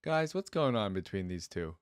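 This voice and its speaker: A man's voice: lowish voice, sing-song, moderate pace, faint music behind. A man hosting a reaction video, commenting in a casual, chatty, informal way with frequent interjections.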